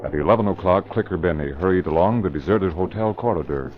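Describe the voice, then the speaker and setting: A man narrating a vintage radio drama in a measured, dramatic manner. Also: low-pitched, loud music behind the voice. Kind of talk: scripted narration. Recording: muffled vintage radio broadcast.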